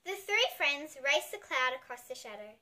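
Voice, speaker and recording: A woman's voice: high voice, big pitch swings, normal speed, pushed and bright. A young girl reading a picture book aloud expressively, with chatty asides to listeners. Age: child. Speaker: young host reading picture books for kids. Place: small indoor room with bookshelves.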